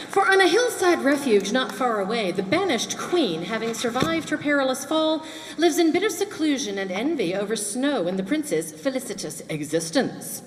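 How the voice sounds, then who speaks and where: A woman's voice: a little high, background noise. A woman reading scripted fairy tale narration for an amateur stage play in a theatrical storytelling manner. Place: large indoor hall at a dance event. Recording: echoey hall, camcorder from the audience, music.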